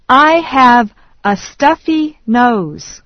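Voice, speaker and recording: A woman slowly reading English phrases one at a time in a language drill, with deliberate enunciation. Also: a little high, lively intonation, no room sound. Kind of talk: slow repeat-after-me English drill. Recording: dry, dull lo-fi studio read.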